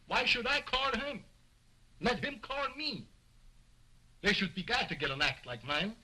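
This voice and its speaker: An actor playing an arrogant, quick-tempered male ventriloquist in an early talkie film, boastful and scolding. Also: sits high, very animated, bright, forceful tone, breathy edge, exaggerated voice, rough recording.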